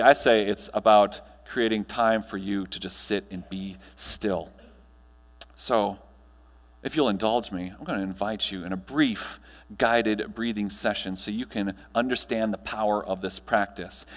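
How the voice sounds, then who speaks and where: An American man in his thirties speaking as a trainer to an audience, guiding them in a measured, instructional way with deliberate pauses. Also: mid-range voice, big-room sound. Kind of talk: guided breathing meditation and talk to an audience. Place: large hotel conference ballroom, on stage.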